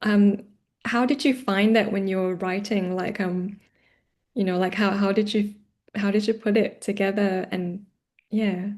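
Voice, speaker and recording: A woman's voice: medium pitch, steady tone, a little slow, a little mellow. A woman hosting a casual, supportive interview, speaking appreciatively with somewhat hesitant phrasing. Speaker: interview host.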